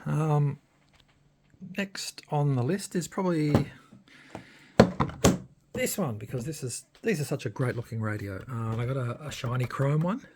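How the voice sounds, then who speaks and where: Gravelly man, casual hands-on demo. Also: medium pitch, noisy background. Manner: hesitant, pausing mid-sentence. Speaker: radio hobbyist presenter. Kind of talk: casual hands-on demonstration talk.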